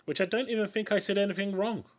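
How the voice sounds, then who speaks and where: A man's voice: sits high, steady tone, quick pace, a little hiss or hum. A man with a London accent chatting casually and opinionatedly while streaming a video game. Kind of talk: casual chat while gaming. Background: game music.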